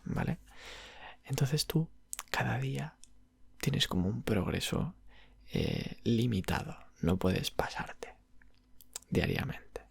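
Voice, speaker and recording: A man playing a friendly video game shop clerk with a Spain accent, murmuring and half whispering in ASMR style. The voice is very breathy and heavily creaky, close and dry on the mic. He explains things patiently, gently and without hurry. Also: mid-range voice, dry recording, exaggerated voice. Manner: unhurried, hesitant, explaining gently in diminutives. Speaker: ASMR roleplayer. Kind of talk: ASMR roleplay, soft whispered and low murmured talk. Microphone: close microphone.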